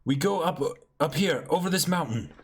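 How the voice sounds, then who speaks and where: An earnest pirate, read by a man, slightly high in pitch. He speaks in a reassuring, sincere way, like a manga hero read aloud. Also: expressive, moderate pace, husky. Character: earnest, reassuring male pirate saying farewell.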